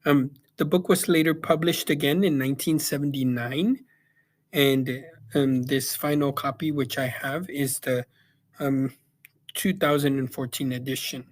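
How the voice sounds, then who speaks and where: A young man from El Salvador giving a casual spoken book review, pausing often with ums and restarting his sentences. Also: mid-range voice, fairly even intonation. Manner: hesitant, many ums and restarts. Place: Yakima, Washington.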